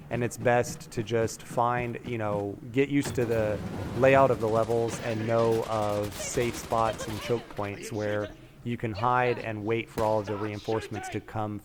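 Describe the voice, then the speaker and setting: Male gaming commentator, slightly low pitch, plain explanatory delivery, noticeable game gunfire behind. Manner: matter-of-fact, explanatory. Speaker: gaming video maker. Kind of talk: playthrough strategy commentary. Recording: voice-over with game noise behind. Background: game sounds, gunfire.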